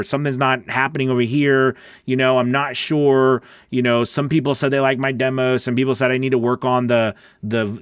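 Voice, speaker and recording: Middle aged guy talking casually to camera like a coach, medium pitch, asks lots of questions, close dry studio mic. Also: fairly bright tone, no echo. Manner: conversational, asks rhetorical questions, checks in with "okay?" and "right?". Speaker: host, voice-over coach. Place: small home recording studio. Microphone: large studio microphone on a boom arm, close.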